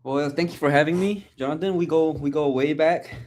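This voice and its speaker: A man's voice: fairly high pitch, brisk. Malaysian guy in his 20s, laid back podcast guest, repeats himself a lot. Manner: relaxed, chatty, repeats words when searching. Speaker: guest, sports photographer. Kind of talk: casual conversation.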